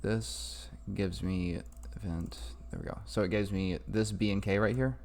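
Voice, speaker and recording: A man talking through a casual, improvised software tutorial in an informal way. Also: fairly low pitch, fairly even intonation, measured pace, a little hiss or hum. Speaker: tutorial maker. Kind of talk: casual screen-recorded tutorial.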